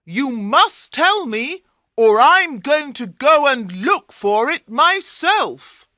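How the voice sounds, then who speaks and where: A woman plays a greedy, demanding storybook brother. The voice leaps high and loud, insisting and exclaiming with big swings, slow and deliberate. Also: big pitch swings, no room sound, clean studio sound, exaggerated voice. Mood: angry, demanding. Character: Kasim, greedy demanding brother, grown man. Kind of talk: storybook character voice.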